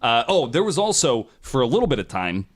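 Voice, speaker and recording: A man's voice: mid-range voice, sing-song, fairly quick. A man hosting a podcast, telling stories in a casual, informal way with loose, sweary phrasing. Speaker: podcast host. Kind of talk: casual storytelling podcast talk.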